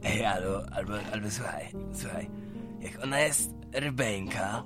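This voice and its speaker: An actor playing a coarse, tipsy drinking companion, teasing and swearing in casual slang in an audio drama. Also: male voice, on the low side, measured pace, natural voice. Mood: teasing, amused. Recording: music under the voice. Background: music.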